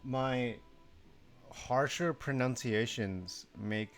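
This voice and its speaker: A man's voice: medium pitch, drawn-out words, faint music behind. A young man from Mexico chatting casually on a live stream, sleepy, rambling and self-deprecating, swearing now and then.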